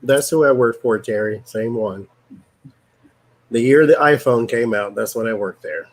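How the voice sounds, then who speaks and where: A man hosting a casual live stream, a psychic reader who explains things in a relaxed way. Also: medium pitch, measured pace.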